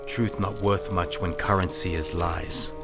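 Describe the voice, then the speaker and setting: An actor playing a calm, wise Chinese-American detective who talks in clipped broken English with formal, aphoristic phrasing. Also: male voice, low voice, unhurried, creaky, over music. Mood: calm, polite. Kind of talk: old detective film radio dramatisation.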